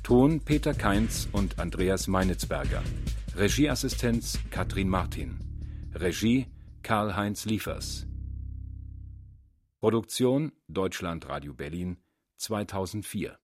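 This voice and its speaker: A man reading radio drama credits as a scripted announcement, measured and formal. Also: low voice, expressive. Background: prominent music.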